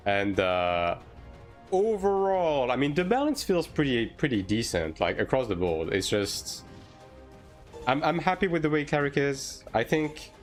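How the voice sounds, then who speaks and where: French-born male streamer over background music. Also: medium pitch. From France. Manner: informal, hedging, tags sentences with "right?". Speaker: game streamer. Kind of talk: casual livestream chat answering viewer questions.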